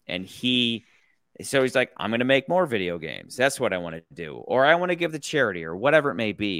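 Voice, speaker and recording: An American man holds forth in a casual movie podcast with a bright, forceful tone and a smooth, crisp voice. he rambles, swears freely and keeps circling back to restart his points, all close to the mic in a dry room. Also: lowish voice, no room sound. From United States. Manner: rambling, opinionated, profane, frequent restarts. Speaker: podcast host. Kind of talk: casual movie review chat.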